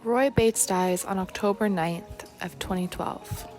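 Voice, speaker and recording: A woman's voice: medium pitch, a little slow, a little mellow, faint music behind, rough recording. A woman narrating a documentary from a script.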